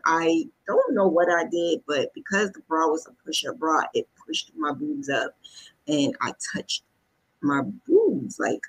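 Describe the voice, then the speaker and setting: A woman's voice: fairly low pitch, fairly bright tone, poor audio. A woman vlogger telling a personal story in a casual, conversational way, often backtracking as she goes. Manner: conversational, backtracking, acts out quoted dialogue.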